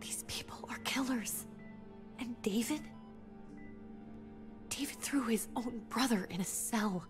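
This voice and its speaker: An actress playing a weary, anxious wife and survivor, speaking in a hushed, intimate way, in a cinematic video game. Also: female voice, on the high side, takes it slowly, long pauses, music in the background. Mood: scared, pleading. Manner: hushed, often breathy near-whisper, intimate.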